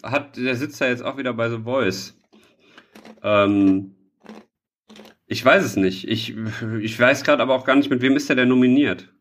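A man's voice: medium pitch, normal speed, long pauses, fairly bright tone. A man chatting casually as a podcast co-host, often searching for words and joking. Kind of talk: casual chat.

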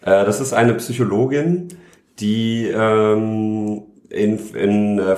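A man's voice: lowish voice, a little mellow. A man co-hosting a casual podcast chat, talking enthusiastically with many filler sounds. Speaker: podcast co-host. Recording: roomy echo.

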